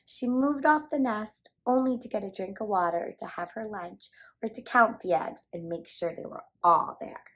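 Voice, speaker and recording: A woman reads a picture book aloud for young children. Her voice sits at a medium pitch, and she tells the story in an animated, emphatic style. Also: normal speed.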